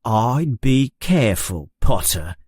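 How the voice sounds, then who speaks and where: A man voicing an arrogant schoolboy bully in an audiobook, speaking in a slow, mean, menacing way. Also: medium pitch, sing-song, darkish tone, husky, no echo, studio quality, full character voice.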